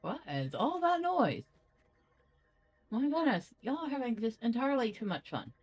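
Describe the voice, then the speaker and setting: A woman's voice: fairly high pitch, big pitch swings, normal speed, husky. A woman giving casual game commentary, chatty and playful as she thinks aloud. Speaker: gaming video host.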